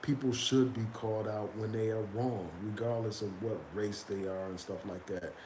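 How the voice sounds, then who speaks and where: A man's voice: fairly low pitch, steady tone, normal speed. A man with a cold, sniffling as he comments loosely to his viewers, with lots of "um" and "man.". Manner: loose, conversational, fillers like "man" and "um". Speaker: YouTube commentator reading chat. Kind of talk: casual live-stream commentary. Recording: echoey room with background noise.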